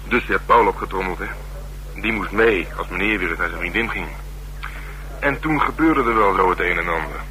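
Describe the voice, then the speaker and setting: Low-voiced gruff streetwise detective, noisy recording. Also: male voice, expressive, a little fast, bright, forceful tone. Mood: dry, confiding. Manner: colloquial slangy talk, dry and wry. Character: male police detective, gruff, streetwise, worldly, asking a favour. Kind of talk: Dutch radio drama dialogue. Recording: noisy radio drama recording.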